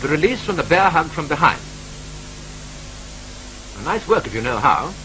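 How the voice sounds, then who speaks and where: A man with a British accent narrating a 1940s training film in a brisk, clipped, wryly matter-of-fact manner, from an old film soundtrack. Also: a little high, sing-song, sharp tone, a little hiss or hum, poor audio.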